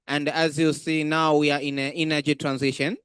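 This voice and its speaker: Clear-voiced young Tanzanian man. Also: on the high side, flat delivery, fairly quick, a bit bright, no echo, studio quality. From Zanzibar, Tanzania. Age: young adult. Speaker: audience member asking a question. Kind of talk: question from the floor.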